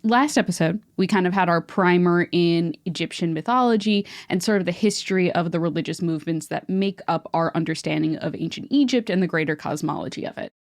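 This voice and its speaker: A woman's voice: fairly low pitch, brisk, hardly any pauses, no room sound, clean studio sound. A woman co-hosting a podcast, explaining mythology in a chatty way with filler words. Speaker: podcast co-host. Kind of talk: casual chat, explaining mythology.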